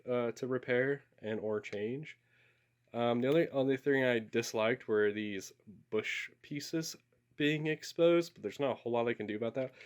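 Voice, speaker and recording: A young man with a congested voice, giving a casual, rambling update on a building project, often correcting himself and apologizing. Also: medium pitch. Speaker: LEGO builder vlogger. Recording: guitar music underneath. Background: guitar music.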